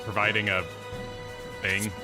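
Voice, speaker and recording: A man's voice: fairly low pitch, little pitch movement, unhurried. A man chatting casually and jokingly as co-host of a game reaction stream. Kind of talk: casual chat commentary. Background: faint game music.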